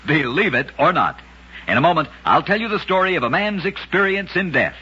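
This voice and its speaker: Husky-voiced man narrating vintage radio oddities. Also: fairly high pitch, big pitch swings, pushed and bright, dull audio. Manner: brisk, emphatic storytelling with punchy catchphrase endings. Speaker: radio host and storyteller. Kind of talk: scripted old-time radio narration.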